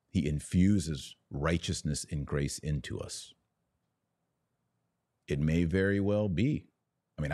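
Casting: male podcast host, very low voice, close dry sound, emphatic religious commentary delivered live. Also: darkish tone, no echo. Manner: emphatic and preachy, with short declarative repeats.

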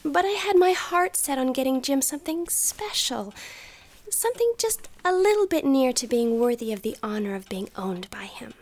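An actress playing an earnest, anxious young newlywed wife in a radio drama, emotional and sighing, with hushed moments. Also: female voice, high voice, animated, moderate pace. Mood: wistful, downhearted. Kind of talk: stage-style radio drama acting.

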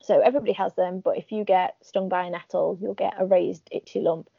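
Woman, Northern English accent, casual and self-mocking, a little gravelly, muffled lo-fi. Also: on the low side, fairly even intonation, a little fast, no echo. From Northern England. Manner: chatty, wry, laughs at herself. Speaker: podcast guest. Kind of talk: casual interview chat.

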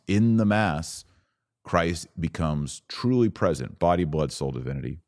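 An American man with a very deep voice presents a religious teaching podcast. He explains point by point in a didactic manner, setting off key words with deliberate pauses. The sound is dry, with hardly any room to it. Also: a little mellow, no echo. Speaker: podcast host.

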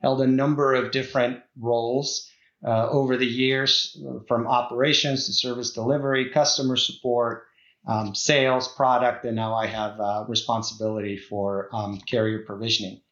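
A man's voice: medium pitch, level tone, normal speed, a bit bright, roomy sound, highs cut off. A middle-aged man from the Czech Republic, a guest executive talking in a podcast interview, hesitant, with many fillers and restarts.

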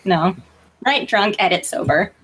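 A woman's voice: medium pitch, animated, unhurried, a bit bright, breathy edge, rough recording. A woman chatting casually as a guest author in an interview, informal and self-deprecating in manner.

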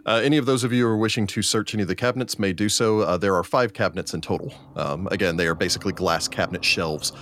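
A man narrating a tabletop role-playing game as game master, in a measured, descriptive storytelling manner. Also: on the low side, fairly bright tone.

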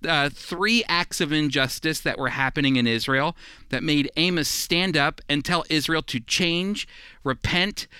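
Male Bible teacher, forceful and earnest delivery, very dry close sound. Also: a little high, smooth, no room sound. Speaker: Bible teacher and radio co-host. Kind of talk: scripted radio Bible teaching.

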